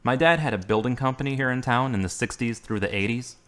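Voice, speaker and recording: A middle-aged actor playing an earnest, devout man recovering from surgery in a radio drama, speaking in a measured way. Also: medium pitch, a bit bright, lightly acted.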